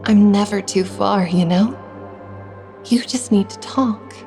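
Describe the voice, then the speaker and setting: A woman's voice: mid-range voice, slow pace, soft background music. A woman playing a tender, teasing wife in a dream, in an intimate audio drama. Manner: soft, affectionate, playful.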